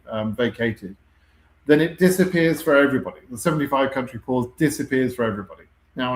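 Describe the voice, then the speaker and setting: A man's voice: mid-range voice, reverberant, rough recording. A man with a British accent hosting a live question-and-answer stream, talking conversationally with frequent ums and self-corrections. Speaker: host answering viewer questions.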